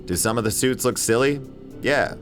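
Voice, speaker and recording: A man's voice: medium pitch, normal speed. A man delivering an opinionated, emphatic scripted video essay as host, with casual swearing. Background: music bed under voice-over.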